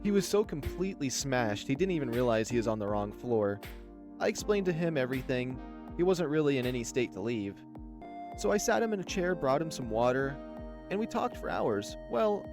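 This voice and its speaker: Man voicing a shy, soft-spoken young male creature. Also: mid-range voice, very animated, normal speed, over music. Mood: fond, wistful. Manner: hesitant, apologetic, soft-spoken. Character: shy, nervous young male animal with claws, gentle. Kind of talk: visual novel character dialogue.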